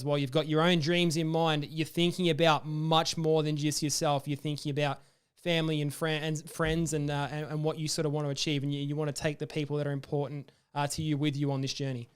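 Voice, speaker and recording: Fast-talking Australian podcast host. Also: male voice, fairly high pitch, clear, resonant tone. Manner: friendly, rambling questions with many fillers. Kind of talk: casual interview.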